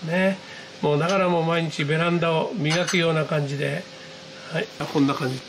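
A man giving an excited, casual running commentary to viewers as a radio hobbyist, exclaiming and muttering to himself. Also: fairly high pitch, a little fast, background noise. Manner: exclamatory, talking to himself and the screen. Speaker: amateur radio hobbyist narrating own video. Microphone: handheld camera mic, pointed at computer screen.

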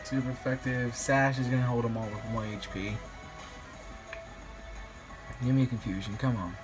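A slightly raspy young man, a bit sick, commentating his online game battle in a rambling, hesitant way with many ums. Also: medium pitch, steady tone, quick pace. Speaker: gaming YouTuber. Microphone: phone filming a screen. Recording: music playing behind.

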